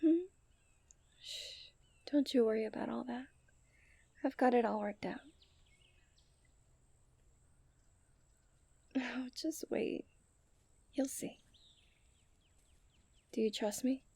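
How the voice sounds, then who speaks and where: Young princess, strong-willed romantic played by a woman. High, very mellow voice, soft and intimate, for ASMR roleplay. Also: slow, vocal fry, long pauses, husky, no room sound, heavily acted. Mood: tender, whispered, then teasing, loving. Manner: soft, intimate delivery that often drops into whispers.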